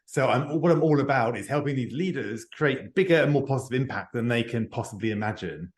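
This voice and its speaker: A man's voice: medium pitch, brisk. A middle-aged man speaking as a guest over a video call, earnest and reflective, often restarting his phrases. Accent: non-native to France, English-speaking. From not France; lives near Paris. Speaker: guest, author and executive advisor. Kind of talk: podcast interview answers. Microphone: remote video call. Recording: echoey room on video call.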